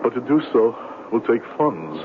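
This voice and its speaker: Guy acting as fake missionary conman, super pious n solemn, very animated pitch, old radio drama w thin phone-like sound. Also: moderate pace, lightly acted. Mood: solemn, sorrowful. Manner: pious, solemn, theatrically hesitant. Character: smooth-talking male swindler posing as pious missionary. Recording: muffled, noisy old radio broadcast.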